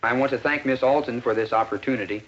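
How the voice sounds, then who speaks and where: An actor playing a modest, earnest male high school student in a 1950s educational film, speaking plainly and politely with some hesitancy. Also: quick pace, a bit bright, close to an everyday voice. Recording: dull, noisy 1950s film soundtrack.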